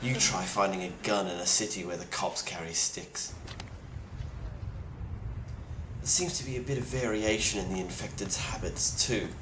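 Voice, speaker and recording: Grim, low-voiced survivor, acted by a man, echoey recording. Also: a little fast, natural voice. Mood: grim, wary. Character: grim, weary British male plague survivor leaving video testimony. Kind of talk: in-character monologue, found-footage video diary. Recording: roomy echo with clear background noise.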